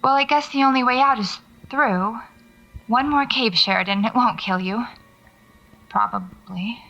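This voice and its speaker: A woman's voice: on the high side, a little slow, creaky, pushed and bright, highs cut off, faint music behind, exaggerated voice. A woman acting as a wry, stubborn paranormal investigator in an audio drama, talking to herself as she records field notes. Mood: wary, focused. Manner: muttering to herself, sardonic, self-coaching.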